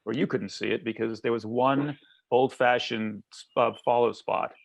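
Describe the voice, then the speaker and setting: Very gravelly, thoughtful man. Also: mid-range voice, fairly even intonation, normal speed. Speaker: theatre colleague on a panel. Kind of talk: casual reflective chat. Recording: slightly dull lo-fi call audio.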